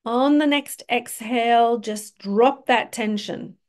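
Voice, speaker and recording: A woman yoga teacher leading a guided relaxation meditation in a gently directive, instructional way. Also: fairly high pitch, takes it slowly.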